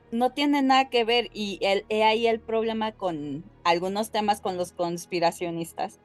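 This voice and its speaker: A woman co-hosting a podcast in a casual, conversational style. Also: medium pitch. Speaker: podcast co-host. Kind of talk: casual chat.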